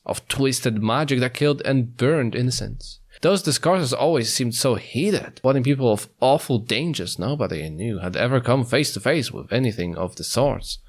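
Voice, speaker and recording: A man reading a visual novel aloud in an expressive narrating style. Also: medium pitch. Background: guitar music.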